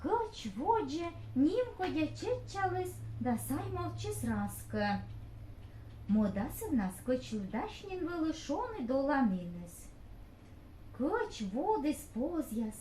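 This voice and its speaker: A woman narrating a fairy tale for a puppet show with slow, expressive telling. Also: high-pitched. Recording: echoey room with faint hiss.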